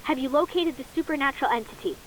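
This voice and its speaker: A woman voicing a stern, demanding official who speaks in a curt, brisk way over a radio. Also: high-pitched, noisy background, lightly acted.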